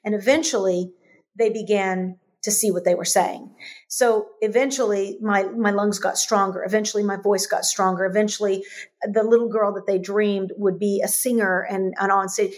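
Female interview guest, animated storyteller, medium pitch. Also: moderate pace. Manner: animated storyteller, repeats phrases for emphasis.

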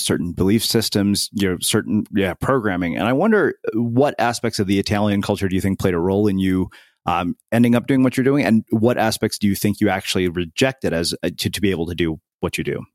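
A man's voice: fairly low pitch, lively intonation, fast talker, no room sound, clean studio sound. A man hosting an interview podcast, introducing and questioning in a conversational style. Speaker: podcast host.

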